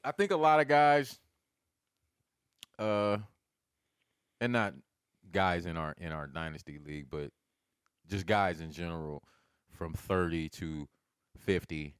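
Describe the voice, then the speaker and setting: A man on a casual podcast, talking freely with plenty of swearing. His voice is low and smooth, and it leaps up when he gets excited. The recording is dry and close to the mic. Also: takes it slowly, long pauses, no echo. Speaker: podcast co-host. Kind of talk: casual chat.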